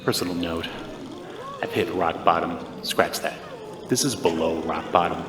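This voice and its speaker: A man's voice: sits low, steady tone, moderate pace, echoey room, in a large hall, heavy background noise, close to an everyday voice. An actor playing a sarcastic, exasperated insomniac everyman in an audio drama, wry and self-deprecating. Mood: despairing, wry. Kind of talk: audio drama acting and monologue.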